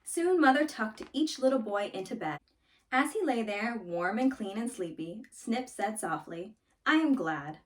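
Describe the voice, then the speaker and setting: A woman reading a children's story aloud in an expressive, dramatic storytelling manner. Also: fairly high pitch, unhurried, far from the mic, poor audio. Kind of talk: scripted storybook read-aloud.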